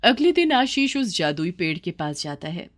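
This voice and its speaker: A woman's voice: fairly low pitch, big pitch swings, moderate pace. A woman narrating a scripted children's story as the storyteller.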